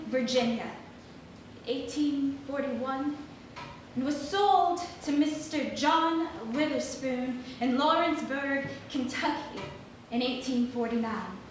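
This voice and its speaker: A woman giving a dramatic reading as a solemn daughter searching for scattered relatives. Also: high voice. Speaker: stage reader. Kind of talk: dramatic reading of historical letters. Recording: very echoey hall, distant, faint noise.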